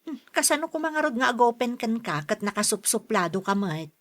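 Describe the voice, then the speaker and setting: A woman's voice: on the high side, sing-song, brisk, a bit bright, slight background noise. A woman with an Ilocano accent acting a frank, chiding but caring younger sister in a radio drama.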